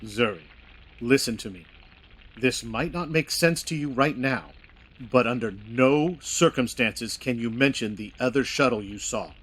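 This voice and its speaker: A man playing a secretive alien who passes as human, speaking in a measured, earnest way in an audio drama. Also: mid-range voice, fairly bright tone, a little hiss or hum, natural voice, poor audio. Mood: grave, guarded. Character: secretive male alien posing as human, calm mentor.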